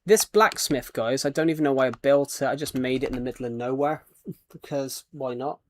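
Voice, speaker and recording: A man's voice: medium pitch, fairly quick. A British man streaming a game, chatting casually and rambling while he reads viewer comments and names aloud. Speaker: livestreamer. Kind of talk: casual livestream chat while playing a game.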